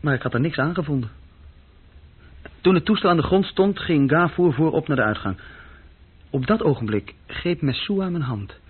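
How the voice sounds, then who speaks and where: A man's voice: fairly high pitch, animated, moderate pace, long pauses, breathy edge. An actor playing an uneasy, insecure young junior clerk who tells his story hesitantly and intimately in a radio play. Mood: brooding. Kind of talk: radio play acting, intimate first-person narration. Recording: dull, muffled old radio recording.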